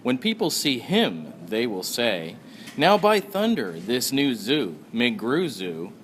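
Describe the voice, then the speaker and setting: A man's voice: medium pitch, big pitch swings, slow, fairly bright tone. A man on staff reading a rhyming storybook aloud. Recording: faint background room noise.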